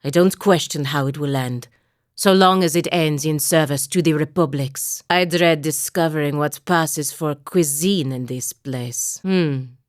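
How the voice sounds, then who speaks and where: A proud, dutiful female warrior from a fantasy video game. Her voice sits low and stays measured, with formal phrasing and a wry, stern edge. The sound is close and dry, with very little room around it. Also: no room sound. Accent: Valian, a lightly Italian-sounding fantasy accent. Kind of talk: scripted game dialogue, voice lines and barks.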